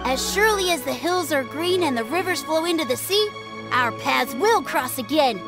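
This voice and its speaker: A boastful little kid in a game, super high voice, dramatic, music playing behind. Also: expressive, moderate pace. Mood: grandiose. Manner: dramatic, grandiose declarations. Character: excitable, boastful young boy dreaming of swordsmanship. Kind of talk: video game character dialogue.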